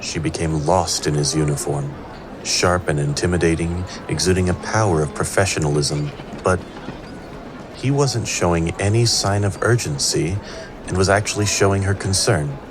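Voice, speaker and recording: A man's voice: low-pitched, normal speed, background noise, lightly acted. A man performing scripted narration of a fantasy tale as an all-knowing storyteller. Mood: suspenseful. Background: prominent music.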